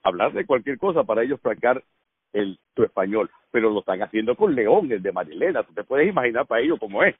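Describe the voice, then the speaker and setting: A middle-aged man with a Cuban accent, an actor telling stories in a casual radio chat, lively and laughing often. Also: medium pitch, quick pace, breathy edge, no echo. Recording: dry phone-line sound.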